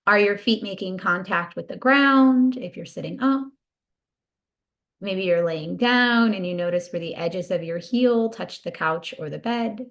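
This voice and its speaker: A woman leading a guided body scan meditation in a soothing, gentle, unhurried manner. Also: fairly low pitch.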